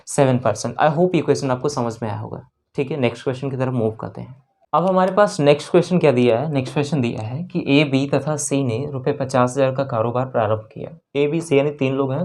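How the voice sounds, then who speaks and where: A woman's voice: rumbling low, darkish tone. A woman with an Indian accent teaching a maths lesson step by step, repeating phrases and posing questions as she explains.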